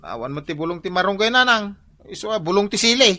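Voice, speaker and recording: A woman's voice: mid-range voice, animated, quick pace, pushed and bright. A woman playing an exclaiming grown child in a rowdy Ilocano family radio drama, with music behind. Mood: exasperated.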